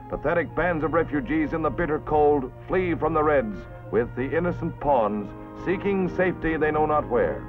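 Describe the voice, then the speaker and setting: A muffled vintage newsreel soundtrack carries an American man narrating in a fairly high voice. His delivery is formal, dramatic and emphatic, in the scripted announcer style of the early fifties. Music plays clearly behind him throughout.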